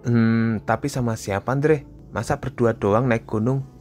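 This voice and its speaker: Man acting a young male hiker, Indonesian accent, casual, questioning, animated horror dialogue, faint music and crickets. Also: medium pitch, unhurried, natural voice. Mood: casual, curious. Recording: music bed with night cricket sounds.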